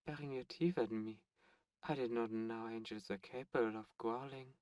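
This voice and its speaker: A man playing a smug, teasing demon in an ASMR roleplay, speaking in a taunting, deliberate way with drawn-out pauses. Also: mid-range voice, dry recording, distant mic, lightly acted. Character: smug teasing male demon buyer at a captive auction. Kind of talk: ASMR roleplay audio drama.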